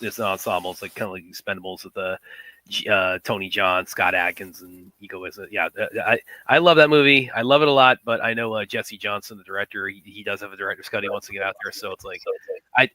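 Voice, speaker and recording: Young man, sniffly, quick rambling talk, low bright voice, headset mic. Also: steady tone, no echo, rough recording. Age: young adult. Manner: rambling, halting with many fillers and restarts. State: sniffly, runny nose. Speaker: co-host. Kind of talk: casual live stream chat. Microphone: computer headset mic with sound trouble.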